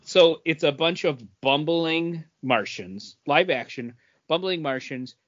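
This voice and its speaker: A man's voice: fairly high pitch, slow, fairly bright tone, no echo, muffled recording. A man co-hosting a podcast in casual, conversational chat. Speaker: podcast co-host.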